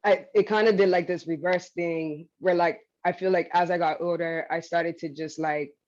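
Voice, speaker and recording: A woman's voice: fairly low pitch, fairly even intonation, normal speed, a little mellow. Woman panelist, rambly and giggly, kinda muffled lo-fi audio. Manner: rambling, laughing often. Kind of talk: panel discussion.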